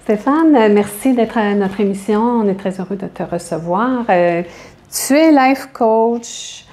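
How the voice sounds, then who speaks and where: A woman with a Québécois accent hosts an interview, prompting her guest with short questions. Her voice is mellow and soft-edged, at a middle pitch. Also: unhurried. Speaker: talk show host. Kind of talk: conversational interview.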